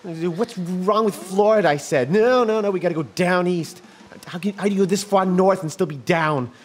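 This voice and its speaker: An actor playing a timid, henpecked husband in a radio drama, stammering, flustered and given to muttered grumbling. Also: male voice, high voice, normal speed, lightly acted. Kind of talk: live radio drama acting.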